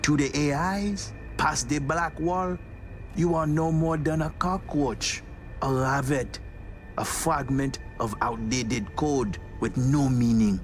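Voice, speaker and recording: Actor as a slow-spoken, wary netrunner man. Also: medium pitch, soft background music, lightly acted. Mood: alarmed, grave. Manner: theatrical, clipped broken English, deliberate. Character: wary blind male netrunner, cynical, guarded, dramatic. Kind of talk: cinematic video game acting.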